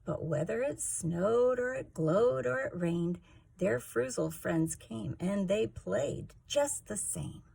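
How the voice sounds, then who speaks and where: A woman hosting storytime, reading a rhyming children's picture book aloud with expressive, rhythmic delivery. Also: mid-range voice, slow pace, vocal fry, slightly rough. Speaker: storytime host, reader.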